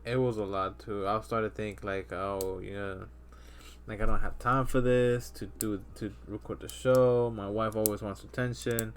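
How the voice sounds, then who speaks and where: A man's voice: fairly low pitch, level tone, measured pace. A man in his thirties hosting a casual podcast chat, rambling with lots of fillers and restarts. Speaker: podcast host.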